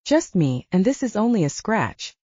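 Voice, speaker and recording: A woman reading aloud, voicing a calm, protective male werewolf who speaks in a level, reassuring way. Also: high-pitched, moderate pace, slightly rough, no echo, heavily acted. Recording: dull lo-fi dry sound.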